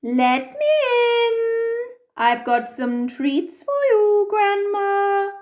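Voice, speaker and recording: A woman's voice: very high-pitched, lively intonation, very slow, darkish tone, reverberant, voice pushed up, exaggerated voice. A woman voicing a sly male big bad wolf in a bedtime story, coaxing and growling with false sweetness. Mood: falsely sweet.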